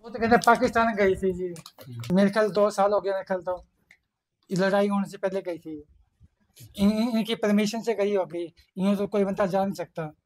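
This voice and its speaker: A man's voice: high-pitched, steady tone, moderate pace, darkish tone, low-quality recording. An older man giving an unscripted news interview, talking colloquially and repetitively as he protests. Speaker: father interviewed by reporters.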